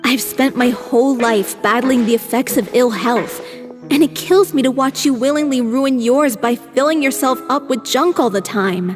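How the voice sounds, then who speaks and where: Sickly earnest troupe singer girl, woman voicing her, kinda high, echoey game mix. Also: moderate pace, natural voice. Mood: scolding. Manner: earnest, scolding when worried. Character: chronically ill young female troupe singer, earnest. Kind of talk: game cutscene dialogue. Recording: reverberant game mix with faint music.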